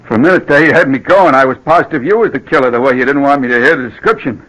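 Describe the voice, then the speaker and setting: Actor as a tough, slangy radio-drama bartender. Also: male voice, mid-range voice, expressive, racing, a bit bright, natural voice, low-quality recording. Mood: easing off. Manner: blunt, challenging, slangy. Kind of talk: old-time radio drama acting.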